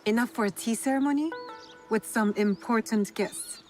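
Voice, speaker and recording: An actress playing a determined, guarded undercover avenger, direct and composed, in a feudal Japan video game. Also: female voice, a little high, moderate pace, a little mellow, close to an everyday voice. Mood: insistent. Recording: music under the dialogue.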